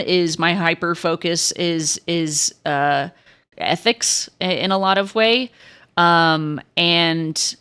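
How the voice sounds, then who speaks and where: A young woman with a crackly, lower voice, chatting frankly with stream viewers and swearing freely. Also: a bit bright. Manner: frank, swears a lot, many restarts. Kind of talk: casual chat to stream viewers.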